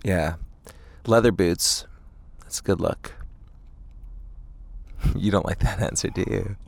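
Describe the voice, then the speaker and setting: A man's voice: low-pitched, slow, creaky. A man, a band frontman, chatting casually as an interview guest in a hesitant, rambling way. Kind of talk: casual chat. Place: inside a parked tour van.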